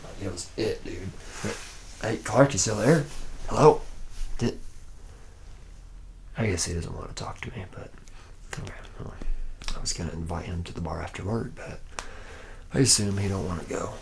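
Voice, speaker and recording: Man playing a gravelly, deep-voiced boozy slacker mechanic. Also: normal speed, long pauses, noisy background. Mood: excited, griping, then casual, instructive. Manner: rambling, slangy, buddy-buddy, slightly self-deprecating. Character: charismatic, boozy, slacker male robot mechanic, a womanizer. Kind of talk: improvised ASMR roleplay, addressing the listener as a trainee.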